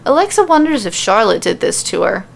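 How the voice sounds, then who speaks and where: A woman's voice: medium pitch, very animated, brisk, gravelly. A woman reading scripted fiction as the narrator of an audio story.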